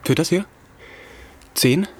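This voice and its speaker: An actor in a German radio play voicing an earnest, insecure young playwright with a polite, slightly defensive manner. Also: male voice, slow pace, natural voice. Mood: self-important. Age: young adult.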